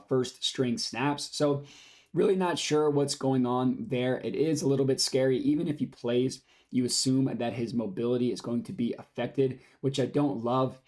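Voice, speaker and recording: A young man with a hoarse, raspy voice, worn down from yelling. He runs his own betting channel and talks through the odds in a brisk, upbeat, casual way. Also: mid-range voice. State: hoarse, lost voice from screaming. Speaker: sports betting channel host. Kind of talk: casual scripted betting picks talk.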